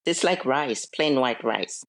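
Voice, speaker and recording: A middle-aged woman from Zambia, a podcast guest, explaining things in a measured, conversational way with frequent fillers. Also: extremely low pitch, expressive. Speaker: podcast guest, diplomat's spouse. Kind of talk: conversational interview.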